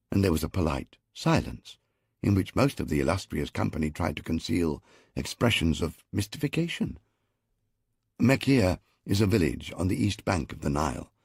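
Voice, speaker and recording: A man's voice: deep voice, creaky, a little mellow, slightly rough, dry recording. A man narrating a historical audiobook in the first person, with a dry, wry storytelling manner.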